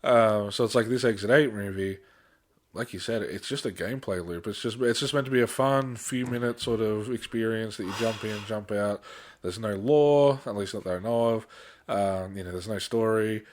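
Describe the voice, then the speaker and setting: A man's voice: lowish voice, moderate pace. A man chatting casually as a podcast host, in an informal, mildly sweary way. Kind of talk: casual chat.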